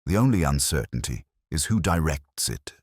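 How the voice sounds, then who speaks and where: A man voicing a shrewd, softly spoken police inspector in a mystery story, deliberate and given to cryptic, philosophical remarks. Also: extremely low pitch, big pitch swings, breathy edge, dry recording, clean studio sound, full character voice. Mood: knowing, calm. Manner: murmuring, deliberate, aphoristic.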